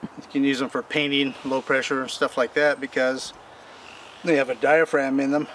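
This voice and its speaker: A man's voice: mid-range voice, level tone, normal speed, fairly bright tone. An older man hosting a homesteading channel, casually explaining chainsaw repair in a folksy, rambling, plain-spoken way while working in his backyard. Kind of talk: casual how-to demonstration. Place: outdoor backyard workspace. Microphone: camera mic from a distance. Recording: distant outdoor mic with noise.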